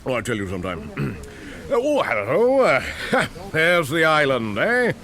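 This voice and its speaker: A bumbling, swooping English gentleman. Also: a little high, huge pitch swings, heavily acted. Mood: gossipy, grumbling. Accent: upper-class English. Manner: muttering, halting, throat-clearing, tagging on eh and hmm. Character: bumbling, clubby upper-class English gentleman, gossipy and vague. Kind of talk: radio drama acting.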